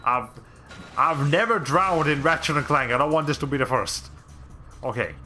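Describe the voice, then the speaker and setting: A male streamer with a raspy voice giving casual, rambling commentary while playing a game, often restarting his sentences. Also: on the high side, animated, moderate pace, pushed and bright, faint music behind. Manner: rambling, chatty, frequent restarts.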